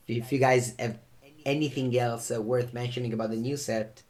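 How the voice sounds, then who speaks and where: A man's voice: medium pitch, fairly even intonation, darkish tone. A man co-hosting a podcast. He talks casually and often stops to restart with "uh". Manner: halting, with many "uh" restarts. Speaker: podcast co-host. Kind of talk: casual chat.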